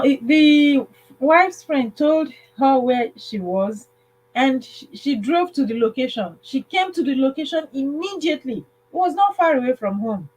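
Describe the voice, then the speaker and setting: A woman hosting a livestream, casually telling a story in a halting way, repeating phrases and checking in with listeners. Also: high voice, darkish tone, poor audio. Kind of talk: casual spoken storytelling with advice.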